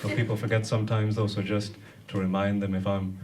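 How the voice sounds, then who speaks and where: A man from Sri Lanka doing a dry, deadpan stand-up set from a chair on a nightclub stage, with many hesitant uhs. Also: lowish voice, fairly quick, far from the mic, slight background noise. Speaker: stand-up comedian. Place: nightclub stage, seated, before a laughing audience. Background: audience laughter.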